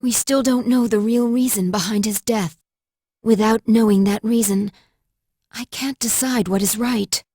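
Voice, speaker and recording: A woman's voice: fairly high pitch, steady tone, a little slow, dark tone, husky, no room sound, studio quality. A woman voicing a polite, earnest android girl with precise, formal speech in an anime game dub. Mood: calm, resolute.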